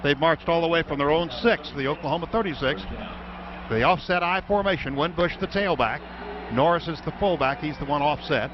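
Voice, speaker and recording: A man with an American accent and a fairly high voice delivers live football play-by-play for radio. The broadcast sound is somewhat dull, with clear stadium noise behind him. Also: a little fast. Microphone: broadcast booth microphone.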